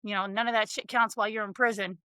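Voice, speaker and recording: A woman's voice: medium pitch, fairly even intonation, quick pace, bright, forceful tone, smooth, no echo. A woman in her thirties from Connecticut, a podcast guest telling her life story in a blunt, frank, casual way.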